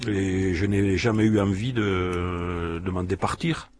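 A man with a southern French accent speaking slowly and reflectively. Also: low voice, steady tone. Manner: slow, reflective.

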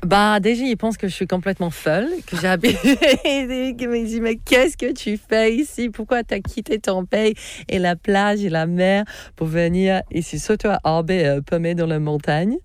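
A woman's voice: mid-range voice, normal speed, smooth, dry recording. Australian woman chatting in French, laughing. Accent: Australian English. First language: English. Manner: animated, laughs often, searches for words. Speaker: interviewee. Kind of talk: casual interview chat. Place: Orbe area in the mountains.

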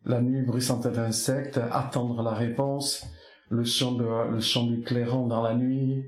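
Halting, poetic Basque-born man in a roomy space. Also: mid-range voice, flat delivery. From Basque Country. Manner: soft-spoken, poetic, halting with many restarts. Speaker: artist interviewed. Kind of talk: reflective reminiscing interview.

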